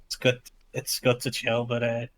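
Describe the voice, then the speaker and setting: A young man with a Liverpool accent talks in an easy, informal way. His pitch is average for a man, and his speech is full of gamer slang, like someone chatting on a stream. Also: monotone, normal speed, fairly bright tone. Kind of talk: casual chat.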